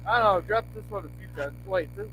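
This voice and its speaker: High-voiced man on a humming headset. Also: quick pace. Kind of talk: casual chat. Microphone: faulty headset mic. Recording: noisy headset line with hum.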